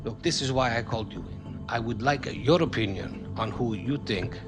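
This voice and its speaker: A man playing a foreign football club executive in a game cutscene, courteous and halting in accented English. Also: mid-range voice, lightly acted. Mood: worried, confiding. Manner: courteous, halting non-native phrasing. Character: smooth foreign football club executive, anxious under pressure. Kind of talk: video game cutscene acting. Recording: music behind the voice.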